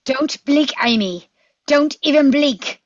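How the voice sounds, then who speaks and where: A woman playing a quick-thinking, commanding adventurer doctor in a script read, with a husky voice and urgent manner. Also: high-pitched, no echo, lo-fi sound.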